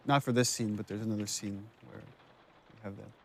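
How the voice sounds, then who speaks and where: A man's voice: lowish voice, steady tone, moderate pace, creaky, a little mellow, a little hiss or hum. A man giving casual director's commentary on a game, speaking in a reflective, explanatory way.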